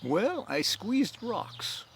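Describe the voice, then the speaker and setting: A man's voice: sits high, big pitch swings, extremely slow, gravelly, noisy background, voice pushed up, heavily acted. A man voicing an earnest, driven young swordsman in a storybook reading, eager and rambling. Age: young adult. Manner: eager, rambling, counting aloud. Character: earnest, driven young swordsman bent on avenging his father.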